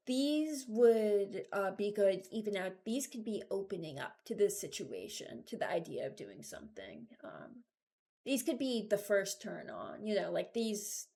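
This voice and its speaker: A woman's voice: mid-range voice, very animated, measured pace, darkish tone. A woman giving casual reaction commentary as a video host, rambling and thinking aloud as she ranks game voice lines.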